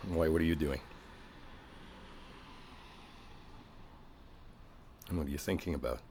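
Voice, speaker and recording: A man playing a frustrated, wounded boyfriend in a one-sided audio roleplay, talking in a naturalistic, halting way with a husky voice. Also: extremely low pitch, a little mellow, slight background noise. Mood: subdued, guarded. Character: frustrated, wounded boyfriend arguing with his partner.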